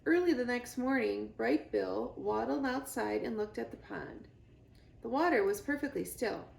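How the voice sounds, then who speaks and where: A woman narrates a children's story expressively. Her voice is fairly high with a creaky edge, and the room adds a clear echo. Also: animated, normal speed, a little mellow. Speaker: library reader. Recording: roomy, echoey indoor sound.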